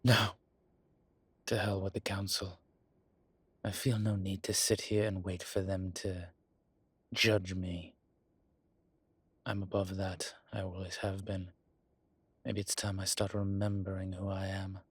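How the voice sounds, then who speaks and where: A man acts out a proud, brooding male vampire in an audio roleplay. His voice is husky and slow, with a weary, deliberate delivery. It is recorded close and dry. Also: low voice, level tone, no room sound. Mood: resolute, proud. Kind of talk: dramatic audio roleplay acting.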